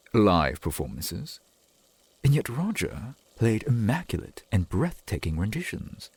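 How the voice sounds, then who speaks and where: Man playing a breathy, lilting, doting vampire boyfriend. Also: deep voice, no echo, full character voice. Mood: admiring, delighted. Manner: formal archaic wording, halting, eager to please. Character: doting, old-fashioned, earnest vampire boyfriend, centuries old. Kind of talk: ASMR boyfriend roleplay, theatrical and intimate.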